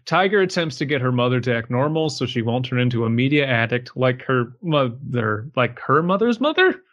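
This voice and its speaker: A man with a medium-pitched voice takes part in a podcast. His delivery is casual and conversational, whether he is commenting or reading something out. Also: lively intonation, normal speed, fairly bright tone, muffled recording. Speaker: podcast panelist. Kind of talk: casual chat, reading aloud.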